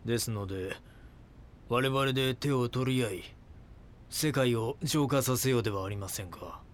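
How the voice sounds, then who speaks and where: An actor playing a calm, grandiose cult founder in an audio drama, speaking politely and preachily with deliberate phrasing. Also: male voice, medium pitch, lightly acted. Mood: calm, solemn.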